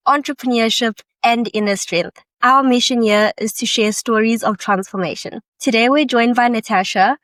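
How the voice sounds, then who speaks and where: Host, woman, upbeat and affirming, slightly high voice, dry close recording. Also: a bit bright, no room sound. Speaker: podcast host. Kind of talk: interview hosting.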